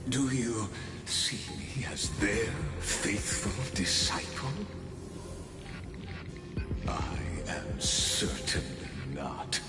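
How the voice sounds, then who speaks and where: Scheming scholar villain for a game: theatrical, politely condescending, extremely slow delivery in a long echoing hall over music. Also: male voice, lowish voice, big pitch swings, heavily acted. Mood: fervent. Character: scheming, courteous male scholar-villain bent on destroying a god. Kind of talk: dramatic video game villain monologue.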